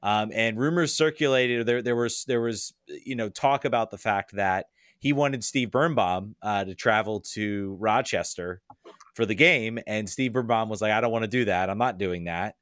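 A man's voice: lowish voice, normal speed, a bit bright, dry recording, clean studio sound. A man talking as a podcast guest in casual chat, hesitant and often repeating words as he thinks aloud.

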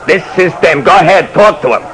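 A man's voice: high voice, fairly quick, fairly bright tone. An actor playing a blunt, slangy, suspicious tenement man in an old-time radio drama. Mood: protective. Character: gruff, loyal tenement neighbor, street tough. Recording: noisy vintage radio recording.

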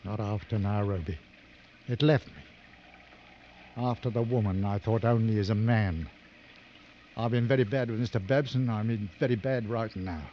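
An actor playing a weary, shaken big-game hunter, candid and grim, in 1950s radio drama style. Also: male voice, lowish voice, moderate pace, pauses often, lightly acted. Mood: weary confession. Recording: muffled, noisy old radio drama recording.

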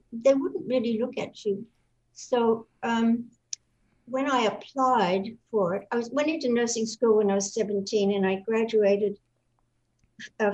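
A woman's voice: on the high side, darkish tone, muffled recording. A woman in her seventies from Australia, with an Australian accent, recounting her life as a guest in a live online interview, rambling with many fillers and restarts.

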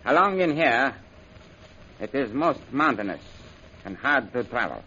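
Deliberate army general, old noisy radio. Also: male voice, mid-range voice, big pitch swings, bright, forceful tone, muffled recording. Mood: measured authority. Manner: deliberate, formal, authoritative. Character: commanding, methodical Chinese army general. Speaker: general giving orders and reading out messages. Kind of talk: old-time radio serial acting.

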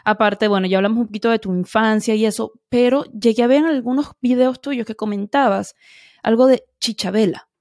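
A woman hosts a podcast in a gushing, effusive way. Her voice is slightly high, and her lines come bubbling out. The sound is dry and close, with no room around it. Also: a little fast, darkish tone, no room sound. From Venezuela. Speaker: podcast host. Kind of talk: casual interview chat.

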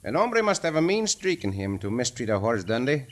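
A man playing a calm, courteous cowboy hero in a 1950s radio drama. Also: mid-range voice, a little fast, natural voice. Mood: cool.

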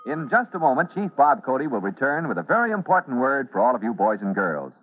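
A man's voice: mid-range voice, sing-song, fast, a little hiss or hum. A man narrating a vintage radio serial dramatically, addressing listeners directly as its announcer. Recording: tinny old radio recording.

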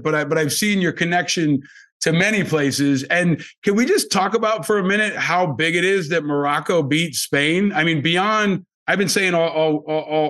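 A man's voice: on the high side, fairly bright tone. A man hosting a podcast interview in an informal, effusive way, with casual language. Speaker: podcast host.

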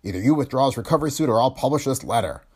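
A man doing a sharp, threatening businessman. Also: lowish voice, very animated, brisk, slightly rough, exaggerated voice. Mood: mocking, threatening. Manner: sharp, angry, mocking. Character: cold, threatening businessman in his fifties.

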